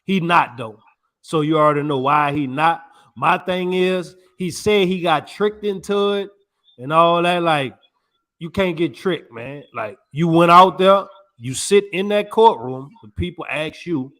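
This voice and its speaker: A man's voice: on the high side, a little slow, fairly bright tone. A man co-hosting a podcast, chatting casually in a laid-back, slangy way. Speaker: podcast co-host. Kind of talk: casual chat.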